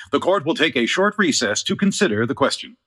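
An actor playing a cautious, pompous judge in a courtroom radio play, halting and formal. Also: male voice, on the high side, big pitch swings, sharp tone, slight background noise. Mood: hesitant.

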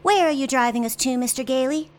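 A woman playing an excitable, earnest little girl in a holiday radio play. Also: high-pitched, fairly quick, natural voice. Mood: puzzled.

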